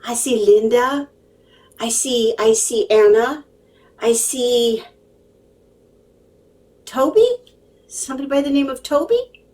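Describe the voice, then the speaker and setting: A woman's voice: fairly high pitch, takes it slowly, pauses often, a little mellow, far from the mic. A woman telling a story casually to viewers in a chatty, emphatic, digressive way, often checking in with her listeners. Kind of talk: casual, animated storytelling to camera.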